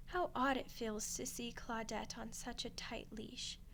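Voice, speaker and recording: Aristocratic female vampire, played by a woman: fairly high, poised, courteous, formal; audio roleplay over faint noisy ambience. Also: fairly even intonation, a little slow, a bit bright. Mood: polite, composed. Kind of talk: ASMR audio roleplay acting. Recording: clearly noisy, ambient background.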